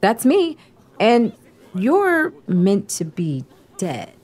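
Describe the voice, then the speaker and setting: A woman's voice: medium pitch, huge pitch swings, extremely slow, darkish tone, full character voice. A woman acting in an audio drama as a blunt, world-weary young resistance fighter with a wry, frank way of talking.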